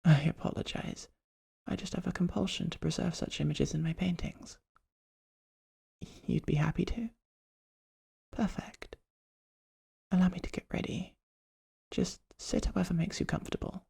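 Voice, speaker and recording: Shy, husky vampire artist performed in soft ASMR. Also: mid-range voice, steady tone, normal speed, long pauses, full character voice. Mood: admiring, gentle. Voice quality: breathy, husky, often near whisper. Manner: polite, hesitant, gently formal. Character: shy, polite vampire artist, earnest and poetic. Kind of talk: soft-spoken ASMR roleplay.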